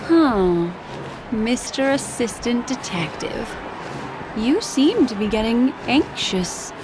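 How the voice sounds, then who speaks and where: A woman playing a poised, knowing and mysterious lady in a video game, speaking in a composed, coy and measured way. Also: a little high, lively intonation, background noise. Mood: coy teasing.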